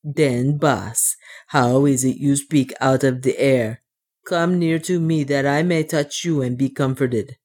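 Low-voiced, fearful dwarf servant acted by a woman. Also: fairly even intonation, slow, darkish tone, full character voice. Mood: frightened, deferential. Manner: archaic, self-pitying, deferential speech. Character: superstitious, fearful, devoted male dwarf servant.

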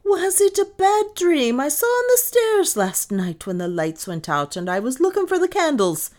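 A woman's voice: lowish voice, sing-song, a little slow, a little mellow, pitched above the performer's own voice. A woman voicing a superstitious, gloomy, stubborn old Irish maidservant with a dramatic, insistent delivery in an audiobook. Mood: heated, stubborn. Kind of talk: audiobook character dialogue.

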